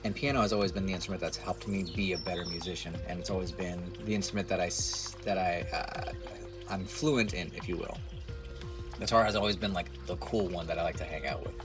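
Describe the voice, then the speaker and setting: A man in his forties talks to the camera in a loose, rambling way, poking fun at himself. His voice sits very low and sounds nasal. Music plays clearly behind him. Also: fairly even intonation, fairly quick. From United States. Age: 40s. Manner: rambling, self-deprecating, chatty. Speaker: musician and content creator. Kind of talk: casual talk to camera.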